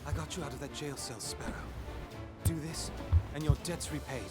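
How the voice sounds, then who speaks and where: A man's voice: on the high side, fairly even intonation, moderate pace, close to an everyday voice. An actor playing an earnest, urgent young man in a video game cutscene, speaking rather formally. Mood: demanding. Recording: game audio with music behind.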